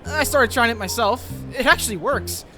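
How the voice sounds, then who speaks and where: Man playing a high-pitched, anxious teenage boy. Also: animated, moderate pace, sharp tone, in a large hall, faint music behind, exaggerated voice. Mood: eager, earnest. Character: anxious, brooding teenage boy, science-obsessed. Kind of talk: acted comic dub with inner monologue.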